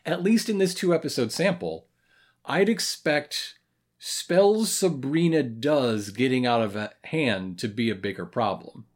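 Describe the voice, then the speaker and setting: A podcast co-host in their thirties chatting casually in a review, often pausing mid-thought. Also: medium pitch. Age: 30s. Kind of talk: casual review chat about a cartoon.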